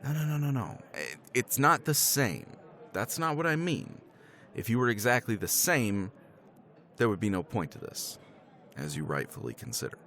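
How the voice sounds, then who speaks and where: A man's voice: medium pitch, big pitch swings, moderate pace, a bit bright. A man playing a professor in a romance ASMR roleplay, teasing and self-deprecating, swearing casually and laughing often.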